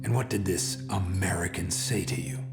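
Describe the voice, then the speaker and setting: An actor playing a stern, sceptical military interrogator in a game cutscene, asking probing questions coolly and deliberately. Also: male voice, sits low, over loud music. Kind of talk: dramatic game cutscene acting.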